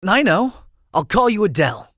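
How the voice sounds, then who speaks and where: Game role: idealistic chatty young noble, bright-eyed and breezy, high voice, very lively pitch, dry close sound. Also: male voice, moderate pace, no room sound, exaggerated voice. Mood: friendly, persuasive. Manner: talkative, breezy, theatrical. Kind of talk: video game voice acting.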